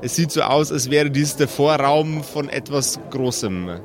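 A man's voice: a little high, measured pace. A man narrating an improvised tabletop game as game master, often repeating words as he feels his way forward.

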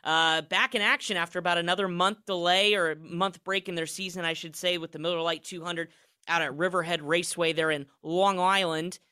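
A man's voice: sits high, fairly even intonation, brisk, bright, forceful tone, clear, resonant tone. A man hosting a racing talk show from a studio, chatty and upbeat with frequent restarts. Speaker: racing podcast host. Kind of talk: casual sports talk.

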